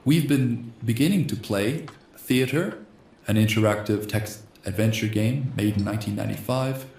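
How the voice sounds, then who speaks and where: A slightly hoarse man hosting a gaming channel, reading a text adventure game aloud in a soft ASMR style and speaking the punctuation marks. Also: fairly low pitch, normal speed, echoey room, a little hiss or hum. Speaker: host.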